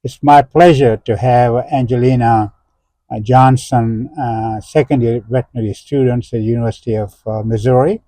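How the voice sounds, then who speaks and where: Older man, interview host, hesitant fillers, dark mellow tone, dry close mic. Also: mid-range voice, fairly even intonation, dry recording. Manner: halting, many "uh" fillers, explanatory. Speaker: interview host, professor emeritus. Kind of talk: unscripted interview.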